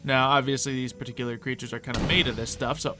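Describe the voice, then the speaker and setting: A man gives casual gameplay commentary with music clearly playing behind him. His pitch sits in the middle for a male voice, and he mixes musing asides with playful, excitable exclamations as he thinks aloud. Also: quick pace. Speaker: gaming video host. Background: background music.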